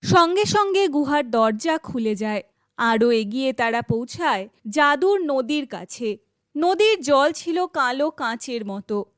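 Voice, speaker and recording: A woman narrates a children's fairy tale from a script. Her voice is high, and the recording is dry, with no room sound. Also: expressive, takes it slowly, no echo.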